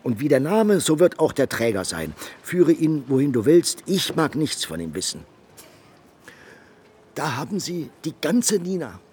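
An actor playing a glib, scheming Venetian go-between in a German radio play, talking in a chatty, insinuating and persuasive way. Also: male voice, on the high side, big pitch swings, measured pace, a little mellow, a little hiss or hum. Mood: animated, mocking.

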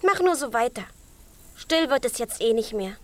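A woman's voice: a little high, animated, moderate pace, fairly bright tone. A woman playing a bored, bossy little chick, blunt and teasing, in a children's radio play. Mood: grumpy, bored.

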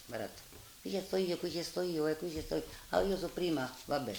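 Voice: medium pitch, fairly quick, a little mellow. An elderly person, telling a spontaneous anecdote as an eyewitness. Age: 70s or older. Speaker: eyewitness recalling wartime. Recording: echoey room, faint noise.

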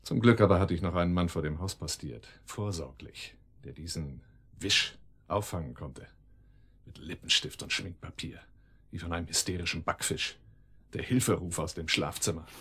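A cold crime boss with a low, slightly rough voice. He speaks deliberately and sardonically, in the commanding manner of a radio drama villain. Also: fairly bright tone, heavily acted. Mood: reproachful, threatening. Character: cold, menacing male crime boss, calmly cruel. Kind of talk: German radio drama acting.

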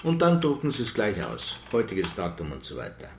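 An actor playing an officious, indignant senior police official, insistent and bureaucratic, in a radio crime drama. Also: male voice, low voice, wildly swooping pitch, brisk, roomy sound, background noise, exaggerated voice. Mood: officious insistence.

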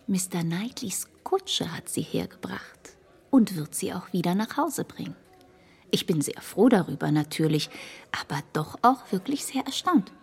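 An actress playing a motherly older friend who eagerly shares a hushed suspicion, in a radio play. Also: fairly low pitch, animated, normal speed, vocal fry, husky, in a whisper, exaggerated voice. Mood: confiding.

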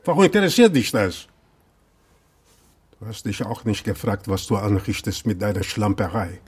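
An actor playing a bitter, weary shopkeeper from Portugal in a naturalistic radio drama, with a husky voice. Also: male voice, lowish voice, very animated, moderate pace, heavily acted. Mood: reproachful. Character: bitter, weary Portuguese male shopkeeper who lost his shop.

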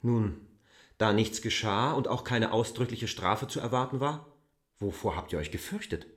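Sceptical outsider guy in a radio drama, slow probing questions, lowish voice. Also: animated, a bit bright. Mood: puzzled, reproachful. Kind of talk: radio play dialogue.

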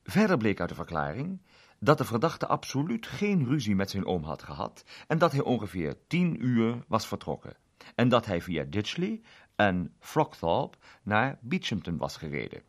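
Deep-voiced, very animated male storyteller. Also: normal speed. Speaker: narrator. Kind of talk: expressive scripted story narration.

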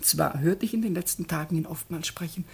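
Radio play role: sly parasite servant, oily and ironic, theatrical delivery full of insinuation. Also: male voice, on the high side, fairly quick, vocal fry. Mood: confiding, sly. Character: sly, flattering male servant, a scheming parasite.

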